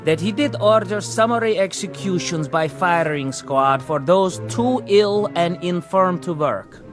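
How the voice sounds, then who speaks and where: An actor playing a grave, relentless caretaker who acts as accuser and judge in a television drama, speaking in a solemn, formal, measured manner. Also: male voice, sits high, music in the background. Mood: stern accusing.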